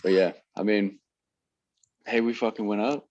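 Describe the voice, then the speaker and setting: A man's voice: fairly low pitch, fairly even intonation, normal speed, a little mellow, dull audio. A man from the United States, a guest in a casual chat, hesitant and full of fillers. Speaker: podcast guest. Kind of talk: casual chat among fans.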